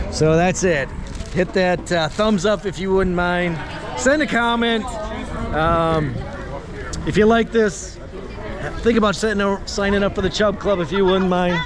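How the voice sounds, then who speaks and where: Hoarse vlogger man at a loud party. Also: high-pitched, steady tone, a little slow. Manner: friendly, chatty, greets people around him. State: hoarse, losing his voice. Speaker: vlogger hosting his channel. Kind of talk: casual chat to camera. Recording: loud music and crowd behind.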